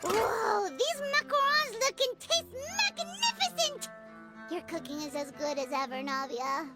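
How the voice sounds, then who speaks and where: A woman's voice: very high voice, big pitch swings, a little slow, over loud music, exaggerated voice. A woman voicing a tiny, eager, food-loving female companion who talks about herself in the third person. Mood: excited.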